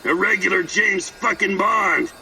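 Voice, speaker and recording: A man's voice: sits high, unhurried, creaky, recorded from a distance. An actor playing a manic, teasing, foul-mouthed eccentric man in a video game, telling rambling stories in a mocking, theatrical way. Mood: gleeful teasing. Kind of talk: video game character acting.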